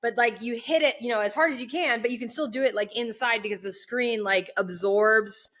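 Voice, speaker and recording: A woman's voice: on the high side, fairly quick, fairly bright tone. A woman hosting a podcast interview, chatting casually and hesitantly, repeating words and adding lots of "like". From outside the US. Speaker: podcast host.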